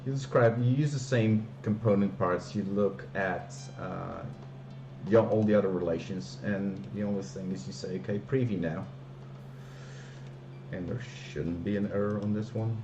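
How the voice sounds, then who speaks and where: A man from the Flemish part of Belgium, a native Dutch speaker with a Flemish accent, walking through software on a casual live stream and hesitating often with fillers and restarts. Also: on the low side, fairly even intonation, normal speed, reverberant, muffled recording, noisy background.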